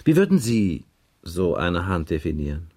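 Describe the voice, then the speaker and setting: An actor playing a probing, officious police inspector taking a statement in a radio crime drama, pressing insistently with each question. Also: male voice, low voice, very animated, moderate pace, mellow. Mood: insistent, formal. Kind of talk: 1980s German radio crime drama acting.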